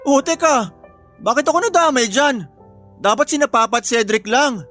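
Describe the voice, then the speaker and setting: A man's voice: very high-pitched, lively intonation, normal speed, fairly bright tone. A man playing a dutiful, playful eldest son who is still a student, in a family radio drama. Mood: playful, teasing. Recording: faint music bed under voice.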